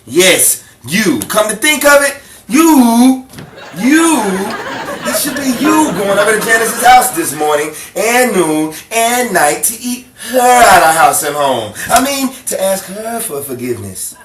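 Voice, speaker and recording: A man's voice: high voice, big pitch swings, a little slow. A man acting an exasperated, teasing suburban husband in a 1950s-style radio sitcom, sarcastic and emphatic. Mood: indignant, sarcastic. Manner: sarcastic, emphatic, repeats words for effect. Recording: roomy, distant, noisy home recording.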